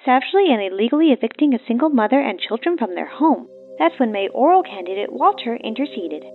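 Comic dub: actress as indignant, brisk TV newswoman, higher register, very animated delivery. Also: narrow, tinny audio, faint music behind. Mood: formal reporting. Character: brisk, indignant female TV news reporter. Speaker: voice actor.

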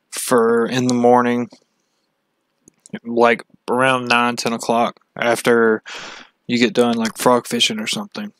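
Slow-talking man, clear and dry. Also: mid-range voice, a bit bright, no echo. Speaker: fishing channel host. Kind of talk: casual screen-share map walkthrough.

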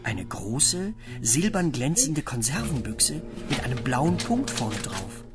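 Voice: medium pitch, very animated, slow pace, over loud music. A narrator telling a scripted children's story in a radio play.

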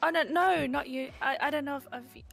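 A woman's voice: high-pitched, fairly quick, vocal fry, fairly bright tone, faint music behind. A woman in casual improvised game roleplay, talking in a cutesy, stammering way with repeated words. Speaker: online roleplay player.

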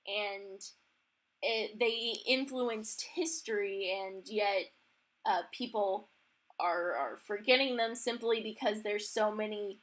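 A young adult woman hosting a podcast, chatting casually in informal, halting, tentative phrases. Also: medium pitch, a bit bright. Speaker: podcast host. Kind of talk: casual interview chat.

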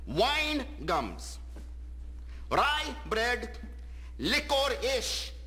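A middle-aged preacher who sermonizes with pompous, stern deliberation. His delivery is very slow and drawn out, in a bright, very high voice with wide swings. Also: wildly swooping pitch, full character voice. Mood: sermonizing. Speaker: conservative preacher character. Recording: television soundtrack with faint music.

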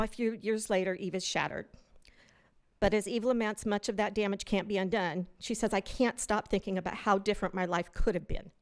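A woman giving public comment in a large hotel meeting room, indignantly reading news stories aloud from a prepared text at a podium microphone. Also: medium pitch.

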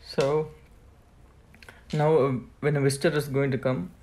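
A man's voice: on the high side, flat delivery, unhurried, darkish tone. A man presenting a hands-on product setup tutorial in a plain, step-by-step instructional way.

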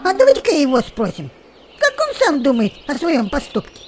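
Woman playing a chatty, high-pitched croaking frog. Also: huge pitch swings, a little fast, slight background noise. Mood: disapproving, chatty. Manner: croaking exclamations.

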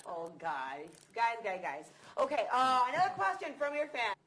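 Animated woman interviewer, high voice, noisy room. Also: moderate pace. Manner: teasing, insistent questioning. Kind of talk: playful, casual celebrity interview. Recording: clearly noisy room recording.

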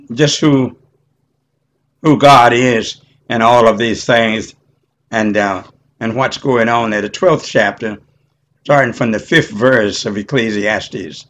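An elderly man teaching Bible study in a halting, deliberate way, punctuated with amens. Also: on the low side, fairly bright tone, a little hiss or hum. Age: 70s or older. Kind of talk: informal Bible teaching with scripture reading.

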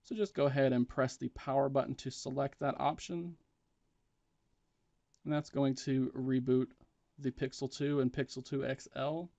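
A man's voice: mid-range voice, little pitch movement, moderate pace, vocal fry, a little mellow, dry recording, dull audio. A man presenting a step-by-step tech tutorial in a plain, matter-of-fact instructional way.

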